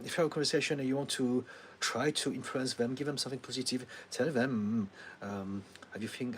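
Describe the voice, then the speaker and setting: In a soft, faintly hissy recording, a middle-aged man with a French accent gives patient advice at mid pitch. He speaks calmly and reassuringly, with the odd light laugh and frequent ums as he thinks. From France. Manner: calm, advising, laughs often, many ums. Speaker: therapist answering live chat questions. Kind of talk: livestream Q&A.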